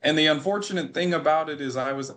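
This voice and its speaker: An American man in his twenties, a podcast guest on a video call, telling a story in an animated way that repeats and restarts phrases. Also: fairly high pitch, fast talker, slight background noise. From United States. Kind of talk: storytelling in a remote interview.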